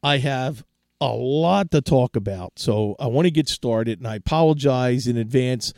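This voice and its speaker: A middle-aged man hosts his tech show in a relaxed, chatty way, often restarting his sentences as he gives advice. His voice is hoarse and fading from a sore throat, with a mellow, dark tone. It is recorded dry and close, with no room sound. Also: mid-range voice, normal speed, no echo. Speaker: tech podcast host. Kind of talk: casual solo tech talk.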